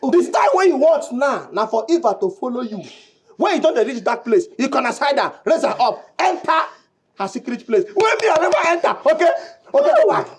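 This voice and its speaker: A man's voice: extremely high pitch, a little fast. A man with a Nigerian Pidgin accent, playing a desperate man who begs for help again and again. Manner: pleading, repetitive, animated.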